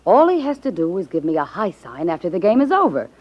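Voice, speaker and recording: A woman's voice: on the low side, sing-song, fast talker. An American actress playing a shrewd, cocksure, wisecracking gangland woman in a 1950s radio crime drama. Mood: hard, persuasive. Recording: dull 1950s radio recording.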